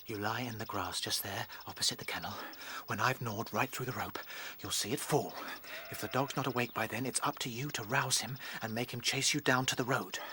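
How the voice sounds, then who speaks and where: A man's voice: medium pitch, steady tone, fairly quick, few pauses, sharp tone, slight background noise, close to an everyday voice. A man playing a brave, careful rabbit leader in a radio drama, giving instructions earnestly. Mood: hushed, intent.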